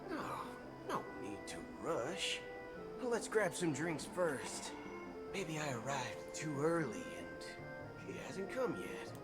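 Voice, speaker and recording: An actor playing a brash, folksy, roguish cowboy gunslinger with a swaggering, theatrical delivery in a video game. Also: male voice, a little high, very animated, full character voice. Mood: relaxed, curious. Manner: swaggering, theatrical, drawn-out emphasis. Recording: game music behind the voice.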